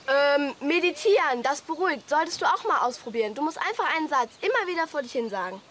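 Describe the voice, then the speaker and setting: A woman's voice: high voice, expressive, quick pace, fairly bright tone, slight background noise. An actress playing a cool, bored, teasing teenage girl in a radio play, dry and sardonic. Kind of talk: German radio play acting.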